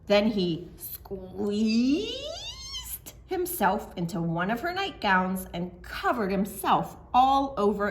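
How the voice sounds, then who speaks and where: A woman's voice: medium pitch, a bit bright. A woman working as a children's storyteller, speaking expressively and simply as if to young children. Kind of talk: animated storytime narration and simple craft explanation for children.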